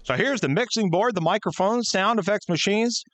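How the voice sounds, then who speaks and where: A man playing a friendly, chatty North Pole radio station worker, brisk and encouraging, in a comic Christmas radio play. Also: high-pitched, noisy background, close to an everyday voice. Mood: brisk, welcoming.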